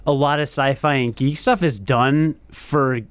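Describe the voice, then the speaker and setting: A man's voice: mid-range voice, fairly even intonation, unhurried, clear, resonant tone, dry recording. A man in his thirties talking eagerly in a casual podcast roundtable, with frequent restarts. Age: 30s. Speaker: podcast panelist. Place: radio studio.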